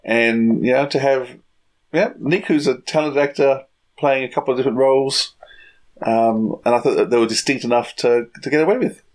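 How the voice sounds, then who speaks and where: A man with a medium-pitched voice co-hosts a podcast. His delivery is casual review chat, halting as he feels for the right word mid-thought. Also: low-quality recording. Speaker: podcast co-host.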